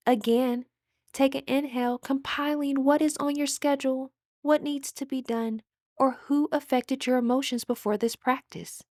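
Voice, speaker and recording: A woman with a notably high voice guides a meditation. She speaks in a measured, instructive way, drawing out her phrases with audible breaths. The sound is very dry, with no room around it. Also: fairly even intonation, a little mellow, dry recording.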